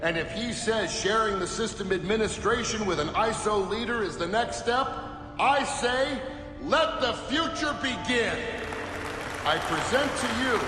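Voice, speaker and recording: A man's voice: high voice, animated, unhurried, reverberant, long reverb tail, a loud crowd. An actor playing a proud male system administrator program giving a ceremonial speech in a game cutscene. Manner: declamatory, ceremonial. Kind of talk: video game cutscene acting. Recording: music playing behind.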